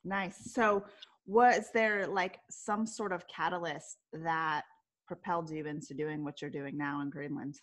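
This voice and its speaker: A woman's voice: low voice, fairly even intonation, a little slow. A woman hosting a podcast, upbeat and enthusiastic as she welcomes and questions her guest. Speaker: podcast host. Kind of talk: podcast interview.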